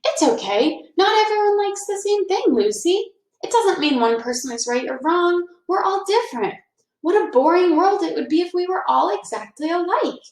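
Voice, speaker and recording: A woman voicing a kindly old grandfather giving gentle, reassuring advice in a storybook. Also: very high-pitched, lively intonation, moderate pace, pitched above the performer's own voice. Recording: roomy, echoing indoor sound.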